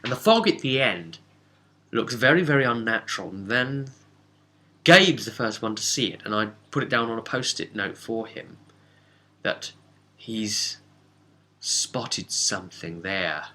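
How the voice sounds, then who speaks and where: A man recapping a tabletop game as game master, telling it casually with dramatic, halting pauses. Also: lowish voice, animated, bright, forceful tone.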